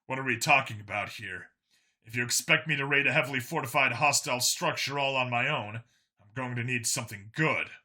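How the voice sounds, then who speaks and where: A weary, blunt human policeman, acted by a male narrator in a sci-fi audiobook. He grumbles his lines with a gruff, irritable edge. The voice is forceful and sharp. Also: medium pitch, brisk. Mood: skeptical.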